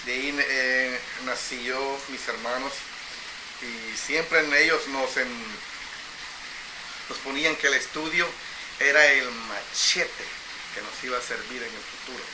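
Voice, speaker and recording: A middle-aged man from El Salvador, telling his life story as an interview guest in a reflective, unhurried way, often repeating phrases. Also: mid-range voice, noisy background. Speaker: interview guest, agricultural engineer.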